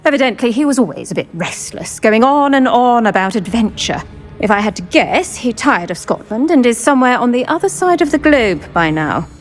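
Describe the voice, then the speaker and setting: A woman playing a stern, protective retired magical law enforcer in a video game, blunt, brisk and assured. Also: fairly high pitch, sing-song, faint music behind. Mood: musing. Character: stern, protective older woman, retired dark-wizard hunter. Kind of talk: video game character acting.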